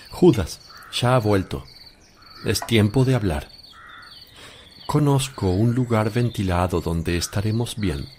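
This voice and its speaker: An actor playing a calm, authoritative holy teacher with a solemn, measured delivery in a religious radio drama. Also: male voice, fairly low pitch, a little hiss or hum. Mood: calm, commanding. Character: Jesus, calm authoritative teacher addressing disciples.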